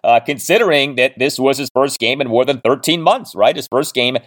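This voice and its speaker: A man hosting a sports podcast, delivering commentary and stat recaps in a measured, emphatic announcer-like way. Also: mid-range voice, fairly bright tone.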